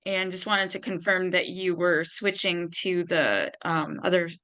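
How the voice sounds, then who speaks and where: A woman's voice: fairly low pitch, unhurried, bright, forceful tone. A woman chairing an online meeting, asking polite questions with frequent ums, recorded through a computer mic on a video call.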